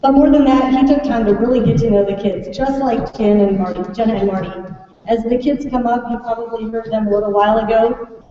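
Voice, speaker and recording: An American woman with a fairly high, soft-toned voice speaks as a teacher to a gathering. She is informal and chatty, often checking in with questions. The sound is distant and muffled, ringing around a big hall. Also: a little fast. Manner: chatty, prompts children and audience with questions. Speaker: third grade teacher presenting a class project. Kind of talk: informal welcome speech to an audience. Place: large echoey room at a school event. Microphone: distant microphone. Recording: echoey hall, distant mic, muffled, faint noise.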